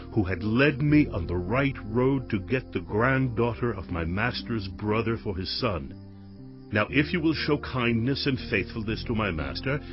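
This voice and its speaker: An actor playing a devout, earnest male servant on a mission in a dramatized audio Bible, speaking in a solemn, measured way. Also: mid-range voice, close to an everyday voice. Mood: reverent, then pleading. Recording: dull lo-fi audio with music behind.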